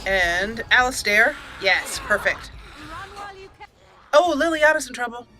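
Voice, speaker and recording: A woman's voice: a little high, lively intonation, takes it slowly, sharp tone, poor audio. A woman hosting a let's play, chatting casually and self-mockingly as she plays, often giggling. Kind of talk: casual gameplay commentary. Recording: faint game music behind. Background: game music.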